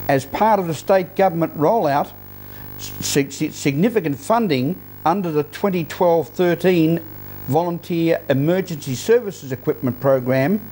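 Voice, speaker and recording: A news presenter reads scripted introductions to local reports. The voice is dark and soft-edged, with a faint hum of noise behind it. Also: mid-range voice.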